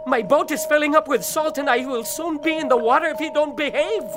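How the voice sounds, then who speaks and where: A man's voice: very high voice, brisk, music in the background. A man playing a greedy, scheming, boastful brother in a children's audio folktale, with a gloating, theatrical delivery. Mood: panicked, angry. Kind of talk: children's audio drama acting.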